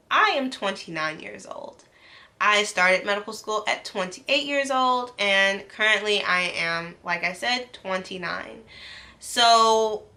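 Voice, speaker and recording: A woman's voice: mid-range voice, bright, forceful tone. A woman in her late twenties, a medical student, talking earnestly to camera in a casual vlog, with ums and restarts. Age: 20s.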